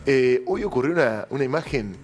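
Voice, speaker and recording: A man's voice: medium pitch, lively intonation, fairly quick, soft background music. A middle-aged man with an Argentine accent, hosting a political talk show in a TV studio and telling stories emphatically. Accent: Argentine Spanish. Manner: emphatic storytelling, addresses co-host by name.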